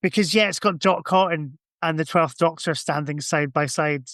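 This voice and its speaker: A man's voice: on the high side, normal speed, fairly bright tone, no room sound, clean studio sound. A man chatting casually as a podcast guest, informal and a bit sweary. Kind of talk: casual chat.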